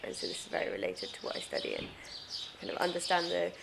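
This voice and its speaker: Young english woman, low gravelly voice, calm, birds and noise outside. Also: quick pace. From England. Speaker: volunteer and student. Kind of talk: interview answer. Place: outdoors.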